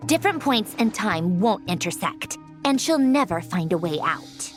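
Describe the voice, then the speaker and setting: An actress playing a brisk, sharp-minded young female researcher in a video game. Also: medium pitch, sing-song, over music, lightly acted. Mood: concerned.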